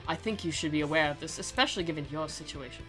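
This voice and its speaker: A woman's voice: sits low, fast talker, a bit bright, faint music behind. Woman voicing a cold, cloyingly polite old male principal. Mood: stern, formal. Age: seventies.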